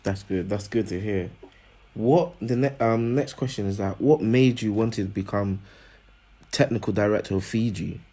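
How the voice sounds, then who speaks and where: Male host, lowish voice, earnest and repetitive, casual interview delivery. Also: moderate pace, warm tone. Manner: earnest, repeats phrases, lifts pitch when introducing.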